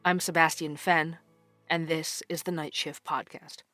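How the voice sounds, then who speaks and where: A man playing an earnest podcast host, narrating city mysteries in deliberate, dramatic phrases. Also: fairly high pitch, little pitch movement, over loud music, close to an everyday voice. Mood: earnest, intriguing.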